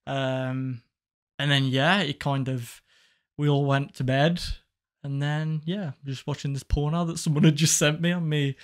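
A man's voice: on the high side, little pitch movement, measured pace. A young man, co-hosting a casual podcast in a small home studio and telling crude stories in a laid-back way. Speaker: podcast co-host. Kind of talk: casual chat. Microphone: studio mic on boom arm, headphones.

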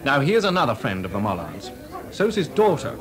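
An actor playing a witty young composer in a 1980s radio drama, talking dryly and ironically. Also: male voice, mid-range voice, wildly swooping pitch, fairly quick. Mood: wry. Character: composer Delius, young man, wry and witty. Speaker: lead actor. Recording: party crowd behind.

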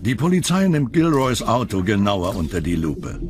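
A man's voice: lowish voice, sing-song, moderate pace. A man reading scripted narration for a true-crime documentary. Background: background music.

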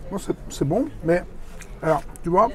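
A man's voice: high voice, animated, normal speed. A man chatting casually as he tastes food for a vlog. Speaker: vlog companion tasting food. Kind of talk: casual chat. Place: busy restaurant at a theme park. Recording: restaurant crowd chatter behind.

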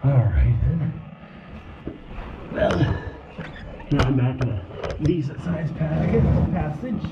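A man's voice: fairly high pitch, expressive, gravelly. Man muttering in an echoey cave. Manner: muttering to himself while crawling, halting and offhand. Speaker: caver filming his trip. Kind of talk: casual self-narrated vlog. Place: inside a lava tube cave. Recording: echoey cave, dull sound, loud background noise.

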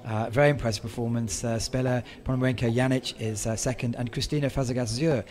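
A male race commentator speaks in a loose, halting way, stopping and restarting his sentences. His pitch sits in the middle range. A crowd can be heard clearly behind him. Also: steady tone. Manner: halting, with many restarts and fillers. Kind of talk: casual sports commentary. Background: crowd at a regatta.

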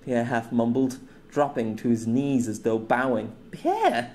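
A man reading an audiobook story in a measured storytelling manner. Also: medium pitch, fairly even intonation, a little mellow, slight background noise. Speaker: audiobook narrator. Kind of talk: scripted narration.

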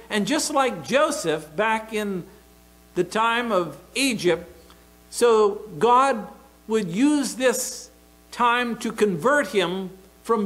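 A middle-aged American pastor preaching at a church pulpit, his voice high for a man. He takes his time, landing each phrase slowly and deliberately, with a faint electrical hum under the pulpit mic. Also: fairly bright tone, rough recording. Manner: emphatic, deliberate preaching with open hand gestures. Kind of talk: sermon. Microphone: pulpit microphone.

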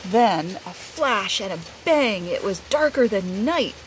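A woman's voice: medium pitch, low-quality recording. A middle-aged woman, a teacher, reads a picture book aloud expressively, outdoors under a roof. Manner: unhurried, animated. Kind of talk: expressive read-aloud storytelling. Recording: rain noise behind the voice.

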